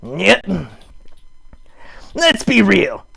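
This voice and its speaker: A man voicing an excitable, earnest puppet in a raspy voice, making emphatic speeches in a live improvised puppet show. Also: high voice, very slow, full character voice. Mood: excited, earnest. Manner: emphatic, exclamatory, mock-campaign speech. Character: excitable puppet character, earnest champion of dogs. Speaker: puppeteer host.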